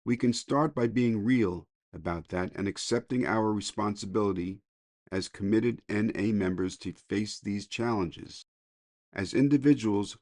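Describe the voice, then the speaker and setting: A man of middle age or older with a low voice reads a daily meditation for a podcast. His delivery is measured, and the recording is dry. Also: a little mellow, no echo. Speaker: podcast reader.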